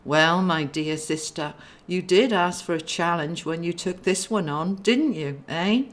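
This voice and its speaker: Woman voicing a low, soothing big-brother angel. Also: a little slow, lightly acted. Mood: reassuring. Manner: soothing, gentle, kindly formal. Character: calm, gentle, reassuring older brother angel.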